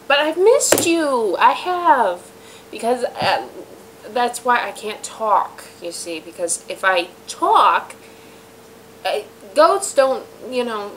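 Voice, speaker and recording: A woman hosting her home web show in a rambling, self-deprecating monologue, her voice raspy from being sick. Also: medium pitch, a bit bright, slight background noise, rough recording. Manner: chatty, self-deprecating, many fillers and trailing asides. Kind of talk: rambling casual monologue to viewers. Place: room in a house.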